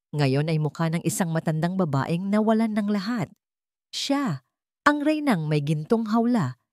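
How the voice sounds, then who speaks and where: A woman's voice: sits low, darkish tone, no echo, clean studio sound. A woman with a Filipino accent narrating a dramatic audiobook story from a script.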